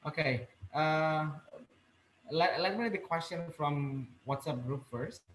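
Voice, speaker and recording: A man moderating an online public speaking session and reading out questions, speaking haltingly and repeating words. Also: fairly high pitch, fairly bright tone, roomy sound, slight background noise, poor audio. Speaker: webinar moderator. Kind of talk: hesitant bilingual moderating.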